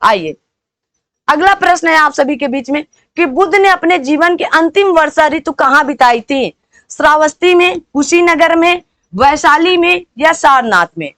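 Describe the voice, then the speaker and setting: Woman, very high voice, dry close sound, coaching teacher, repetitive quizzing delivery. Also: animated, unhurried, a bit bright, dry recording. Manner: repetitive, quizzing, prompting listeners with rhetorical questions. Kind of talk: question-and-answer lesson for exam preparation.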